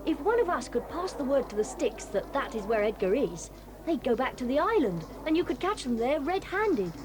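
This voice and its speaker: A polite, earnest schoolboy. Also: female voice, high-pitched, big pitch swings, normal speed. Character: polite, sensible eldest boy.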